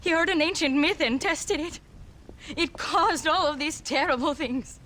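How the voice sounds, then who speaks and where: High-pitched, anguished Mexican townswoman. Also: a little slow, pushed and bright. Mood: anguished, doomed. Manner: fervent, dramatic. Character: anguished, fearful Mexican townswoman, ominous and evasive. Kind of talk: video game cutscene acting.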